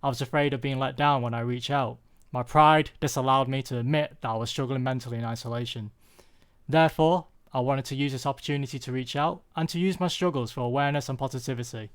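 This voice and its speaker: A young British man giving a prepared personal talk, earnest and confessional, with deliberate phrasing. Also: medium pitch, clean tone. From UK, born there.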